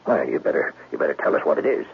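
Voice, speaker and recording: An actor in a 1970s radio drama playing a dogged police detective with a gravelly, husky voice and a blunt, probing manner. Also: male voice, very deep voice, big pitch swings, fast talker, heavily acted. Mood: skeptical. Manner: blunt, probing, hesitant starts. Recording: thin, phone-like vintage radio recording.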